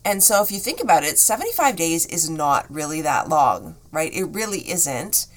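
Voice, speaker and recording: Chatty woman host with a slightly rough voice. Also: low voice, very animated, moderate pace. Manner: warm, encouraging, chatty. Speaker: podcast host. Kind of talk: solo podcast monologue.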